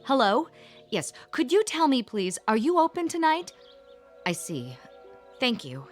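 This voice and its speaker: An actress playing a brisk, no-nonsense grown daughter in a radio drama, firm and commanding. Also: female voice, on the high side, huge pitch swings, soft background music, exaggerated voice. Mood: businesslike. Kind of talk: old-time radio drama acting.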